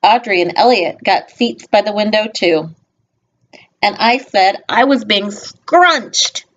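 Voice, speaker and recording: A woman reading a picture book aloud to young children, expressive, with informal, gently instructive asides spoken directly to them. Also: medium pitch, slow, a little hiss or hum. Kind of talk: read-aloud storytelling with chatty asides. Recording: dull lo-fi home video sound.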